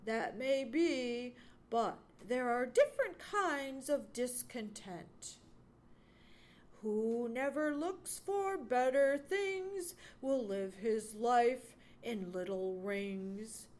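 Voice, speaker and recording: A woman acts out a young male woodchuck from a children's animal storybook. He sounds proud and sure of himself, with emphatic, animated lines and the stubborn air of a carefree wanderer. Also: on the high side, very slow. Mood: proud, decided. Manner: emphatic, scornful, self-assured.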